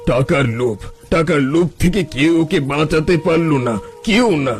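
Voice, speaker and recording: A weary, sorrowful holy man from a cartoon tale, played by a man. His voice sits a little high and laments in a plaintive way. Faint music plays behind him. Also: moderate pace, lightly acted. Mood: sorrowful, bitter. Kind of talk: cartoon storytelling voice acting.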